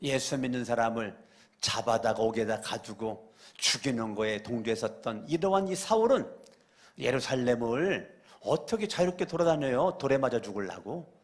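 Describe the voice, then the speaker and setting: A male pastor preaching a church sermon, repeating phrases and asking the congregation questions, moving between loud exclamations and quiet asides. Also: mid-range voice, animated, normal speed. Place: church sanctuary during a weekday service.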